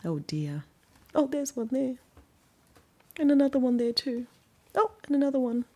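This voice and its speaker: A woman with an Australian accent reading a picture book aloud to young children, with gentle, often hushed asides. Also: high voice, big pitch swings, moderate pace. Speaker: children's story reader.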